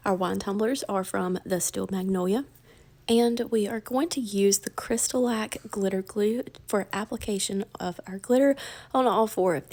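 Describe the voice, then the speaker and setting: A woman's voice: medium pitch, darkish tone. A woman narrating a casual craft tutorial in a chatty, folksy way, her voice slightly crackly from feeling under the weather.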